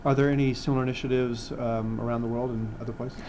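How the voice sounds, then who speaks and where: A man's voice: medium pitch, little pitch movement, fast talker, dark tone, slight background noise. A man hosting a scripted corporate interview. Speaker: host.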